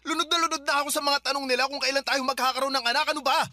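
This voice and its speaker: A man's voice: extremely high pitch, steady tone, very fast, bright, forceful tone, no echo, studio quality, full character voice. A man acting a tired young man defending himself in a radio drama argument with his girlfriend. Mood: arguing, weary. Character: weary young man in toxic relationship.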